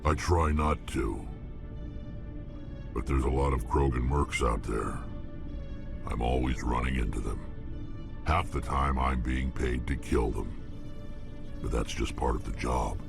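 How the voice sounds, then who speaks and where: An actor playing a hardened, blunt male alien mercenary warrior with a gruff, world-weary manner, in a video game. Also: a bass voice, measured pace, pauses often, full character voice. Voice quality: gravelly. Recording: game audio with music behind.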